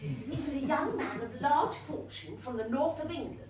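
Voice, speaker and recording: A woman playing an excitable, scheming middle-aged mother in a comic period stage reading, gushing and fussy. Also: sits high, normal speed, crowd noise. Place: small London theatre. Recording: echoey theatre, distant mic.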